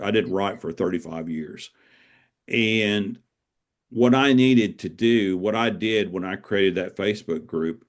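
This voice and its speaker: Older guy with a low voice, author getting interviewed, earnest and reflective.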